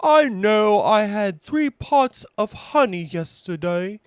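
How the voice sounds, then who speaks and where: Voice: mid-range voice, lively intonation, slow pace, clear, resonant tone, no room sound, studio quality, voice pushed up. A narrator voicing a naive, hopeful male bear who loves honey, in a children's storybook. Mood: puzzled.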